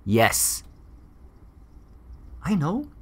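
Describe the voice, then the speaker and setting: An actor playing a weary, defensive ex-army doctor in a grave, measured audio drama interview. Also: male voice, on the high side, huge pitch swings, fairly bright tone, breathy edge, heavily acted. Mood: defensive, frustrated.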